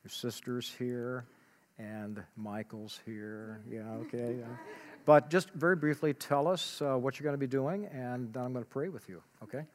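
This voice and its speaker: A man leading a church service as pastor, praying and preaching in a measured way with frequent hesitant pauses. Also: medium pitch. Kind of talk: church announcement, prayer and sermon. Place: church sanctuary. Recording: long church hall reverb.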